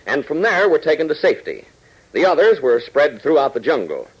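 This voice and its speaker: A man's voice: a little high, slightly rough, rough recording. An American man narrating emphatically and dramatically as an announcer in 1940s radio style. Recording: old 1940s radio broadcast recording.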